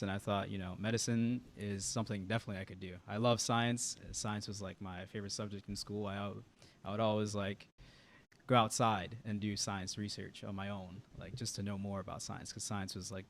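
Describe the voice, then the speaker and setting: Young guy from guyana, laid back, talks casual with lots of likes, lowish voice. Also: brisk, slight background noise. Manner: relaxed, casual, many "like" fillers. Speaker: medical student guest. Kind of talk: casual interview talk.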